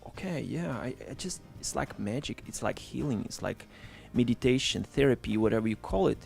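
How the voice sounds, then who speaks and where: A man's voice: mid-range voice, animated, quick pace, gravelly, a little mellow, faint music behind. A middle-aged man, a musician born in Russia and raised in Ukraine, chatting in an interview with many fillers, restarts and phrasing. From born in Russia, grew up in Ukraine. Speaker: musician guest. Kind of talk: casual interview chat. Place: small studio.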